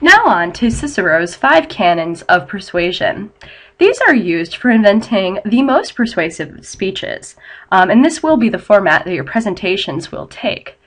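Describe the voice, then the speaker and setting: A woman's voice: medium pitch, very animated, measured pace, fairly bright tone. A young adult woman giving an online college English lecture while battling a head cold, sounding nasal and a bit scratchy, explaining steadily with frequent ums.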